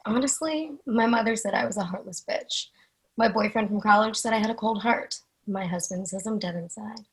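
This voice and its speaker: A woman's voice: medium pitch, normal speed, breathy edge, poor audio. An actress playing an elegant, cold woman in her mid-forties in a screenplay reading, blunt and challenging. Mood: defiant. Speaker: actor in a screenplay readers theatre.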